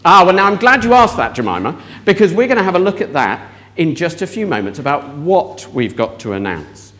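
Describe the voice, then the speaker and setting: A man's voice: fairly high pitch, sing-song, fairly quick, fairly bright tone. A man leading an interactive children's talk in church, asking the audience questions.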